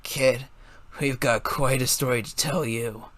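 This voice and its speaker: A man plays a blue-skinned young martial artist in a story reading. He sounds soft and a little hoarse, as if his throat were dry. His manner is gentle and reassuring, in a dry recording. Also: mid-range voice, fairly even intonation, unhurried, dry recording. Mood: amused.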